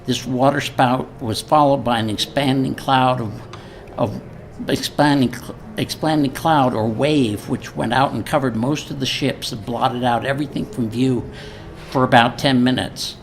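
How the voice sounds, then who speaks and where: Older male, mid-pitched, informal public speaker and halting reader, live recording with faint noise. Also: fairly even intonation, creaky. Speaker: speaker at a memorial event. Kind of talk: informal speech with reading from a letter. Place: live event before an audience. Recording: live event recording with noticeable background noise.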